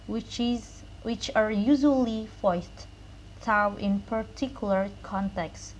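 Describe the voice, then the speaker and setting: A young woman reading a lesson presentation aloud as a student presenter, pausing between short phrases. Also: mid-range voice, darkish tone, rough recording. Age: young adult. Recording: noticeable background noise.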